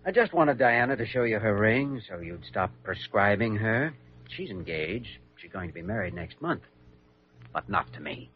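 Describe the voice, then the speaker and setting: A wry, easygoing young doctor in an old radio play. His voice is on the low side with lively intonation, heard on a dull vintage recording. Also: normal speed, background noise. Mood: playful. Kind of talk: 1940s radio acting.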